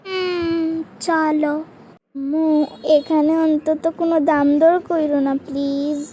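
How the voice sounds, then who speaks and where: A woman's voice: sits high, little pitch movement, slow pace, dark tone. A woman voicing a young cartoon daughter who whines and pleads with her mother. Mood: coaxing. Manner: whining, pleading. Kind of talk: cartoon skit dialogue.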